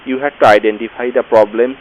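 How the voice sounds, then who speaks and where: A man's voice: medium pitch, steady tone, fast, dull audio. A man reading a scripted lecture as a tutorial narrator, played as a sample with vintage vinyl effects. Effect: vintage radio filter with vinyl crackle. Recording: faint hiss and record crackle.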